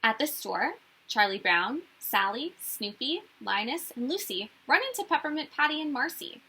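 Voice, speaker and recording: A woman reading a picture book aloud to children in an expressive narrating style. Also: sits high, normal speed, slightly rough. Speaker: children's story reader.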